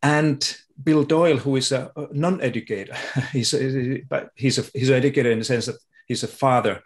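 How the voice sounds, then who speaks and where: A middle-aged man from Finland with a Finnish accent, speaking as a guest on a video call, thoughtful and halting with frequent restarts. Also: mid-range voice. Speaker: guest education researcher. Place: home room with sofa and guitar. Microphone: video call webcam.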